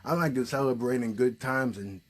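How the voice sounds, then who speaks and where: A man's voice: mid-range voice, brisk. A man hosting a puppet show, chatting casually and encouragingly and prompting with questions. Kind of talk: casual, unscripted chat.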